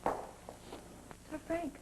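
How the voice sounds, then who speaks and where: A woman's voice: slow, natural voice, rough recording. An actress playing a sheepish woman caught snooping in a 1980s daytime soap, talking in a flustered, stammering way. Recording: hissy 1980s broadcast tape.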